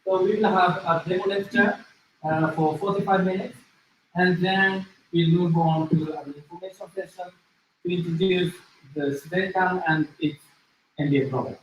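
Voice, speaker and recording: Man, Japanese accent, slightly high voice, halting lecturer delivery, heavily reverberant room. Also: fairly even intonation. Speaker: business school lecturer. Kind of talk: interactive demo lecture with self-introduction. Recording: very echoey room, distant mic, faint noise.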